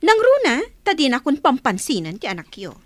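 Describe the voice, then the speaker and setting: A woman's voice: high-pitched, wildly swooping pitch, brisk, no echo. A woman with an Ilocano accent playing a haughty, scornful young village woman in a radio drama.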